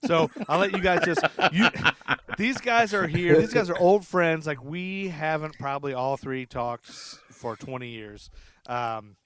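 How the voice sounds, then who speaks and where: A man's voice: fairly high pitch, a crowd in the background. A man hosting a casual podcast, chatting loosely and asking curious questions of his guests. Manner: relaxed, chatty, asks curious questions with quick backchannels. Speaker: podcast host. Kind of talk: casual chat.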